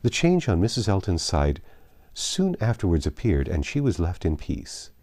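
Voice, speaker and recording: A man's voice: sits low, wildly swooping pitch, moderate pace, darkish tone. A man narrating a classic novel aloud as an audiobook reader. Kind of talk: literary narration.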